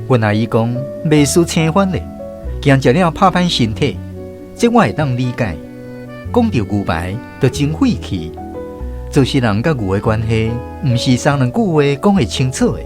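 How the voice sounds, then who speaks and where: A man's voice: mid-range voice, big pitch swings, moderate pace, over music. A man with a Taiwanese accent, a radio host and storyteller reading scripted narration.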